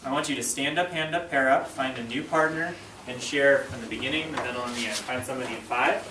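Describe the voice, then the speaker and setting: A man's voice: medium pitch, quick pace. A man working as an elementary school teacher, giving step-by-step instructions and reading aloud to a class. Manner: clear step-by-step directives, checking understanding. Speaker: fifth grade teacher. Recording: roomy classroom, distant mic, faint noise.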